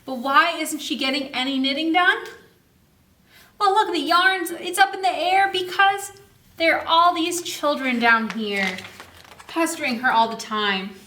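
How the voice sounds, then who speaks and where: A woman's voice: sits high, reverberant. A woman hosting a children's story time, reading a picture book expressively and putting questions to her young listeners. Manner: expressive, asks the listening children questions, exaggerated slow delivery.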